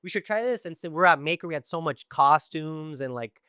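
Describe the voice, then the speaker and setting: Bright, crisp-voiced man telling stories. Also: on the high side, a little fast, dry recording, studio quality. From Tijuana and East Los Angeles. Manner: animated storytelling with many fillers. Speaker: guest. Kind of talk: casual podcast conversation.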